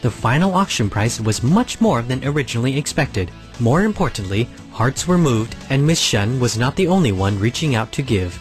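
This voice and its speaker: A man reading scripted documentary narration in a calm, measured way as the program's voice-over. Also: mid-range voice. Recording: faint music underneath.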